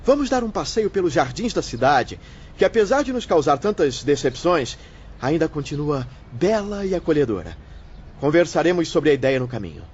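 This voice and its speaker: Actor as higher-voiced, kindly, hopeful male medium. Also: sing-song, moderate pace, a little mellow, slight background noise. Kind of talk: dramatized audiobook acting. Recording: dull audio with faint music.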